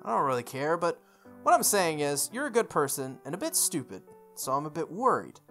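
A woman voicing a blunt, laid-back young shopkeeper who teases and cares gruffly, in visual novel dialogue. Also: low voice, sing-song, fairly quick, a bit bright, faint music behind. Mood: blunt, caring. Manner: blunt, teasing, casual.

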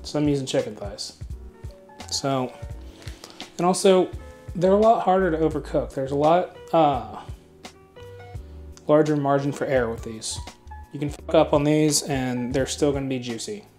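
A man's voice: fairly high pitch, normal speed, long pauses, soft background music. A man in his thirties hosting a cooking video in his home kitchen, talking casually with lots of fillers and the odd swear word. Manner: relaxed and chatty, many fillers, mild swearing. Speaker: cooking channel host.

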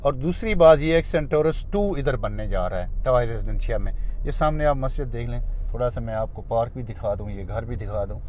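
A man with a Pakistani Urdu accent, a real estate agent who narrates a casual tour from inside a moving car, pitching plots with many fillers. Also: fairly quick, a little mellow, slight background noise.

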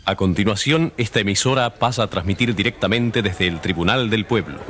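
A man's voice: on the low side, fairly quick, natural voice. A man playing a solemn radio announcer in a dramatic radio play. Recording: loud orchestral music over the voice.

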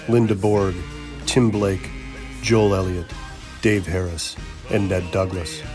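A man reading scripted narration as the narrator of a comedy podcast. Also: fairly low pitch.

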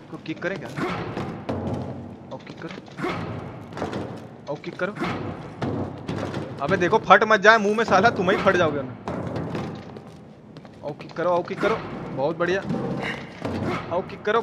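An Indian man with a Bihari Hindi accent giving excitable, slangy live game commentary and often repeating himself. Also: high voice. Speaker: game streamer. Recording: clear game noise behind voice. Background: horror game sound effects and ambience.

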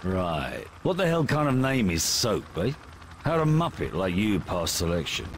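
A man's voice: deep voice, huge pitch swings, unhurried, slight background noise, heavily acted. A man playing a blunt, commanding British special forces captain in a military video game. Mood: scornful. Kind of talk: video game dialogue, giving orders.

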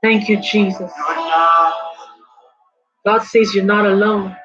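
A woman leading a congregation in prayer and worship, with slow, fervent, drawn-out phrases that slip into singing. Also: medium pitch, level tone. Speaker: worship leader. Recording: dull lo-fi recording with soft music behind.

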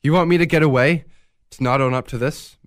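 An actor playing a guilt-ridden, stubborn thief in a radio drama, agitated and defensive. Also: male voice, a little high, a little fast, no room sound, studio quality, natural voice. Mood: resolute. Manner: agitated, defiant.

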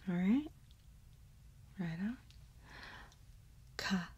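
A woman in her thirties doing a soft-spoken, partly whispered ASMR exam role play, giving slow, gentle instructions close to the microphone. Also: mid-range voice, lively intonation, muffled recording. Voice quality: breathy, whispery. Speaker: ASMR performer playing an examiner.

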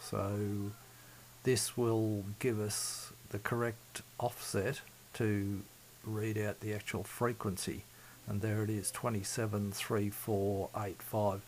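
A man demonstrating a radio electronics repair at his workbench, speaking methodically and haltingly with many fillers. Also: lowish voice, little pitch movement, a little mellow, slight background noise, poor audio. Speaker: CB radio hobbyist. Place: home workbench.

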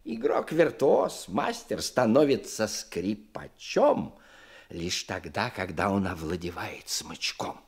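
An actor playing a passionate, exacting old violin teacher in a Soviet radio drama, theatrical and emphatic, with a husky voice. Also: male voice, fairly low pitch, very animated, slow, exaggerated voice. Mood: rapt, instructive.

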